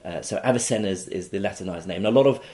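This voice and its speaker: A man's voice: low-pitched, very fast, darkish tone. A man who grew up in Iraq, an author being interviewed, explaining at length with many fillers and restarts. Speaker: author, guest. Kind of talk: interview conversation.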